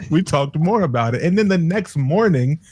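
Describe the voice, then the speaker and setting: A man playing in a tabletop game, telling a story in an excited, rambling way with some swearing. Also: on the high side, unhurried, husky. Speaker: player. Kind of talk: casual chat.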